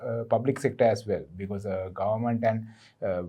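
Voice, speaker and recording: A man on a roundtable panel, speaking haltingly and restarting his words. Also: fairly low pitch, steady tone, dark tone, a little hiss or hum. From Sri Lanka. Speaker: solution specialist, panelist.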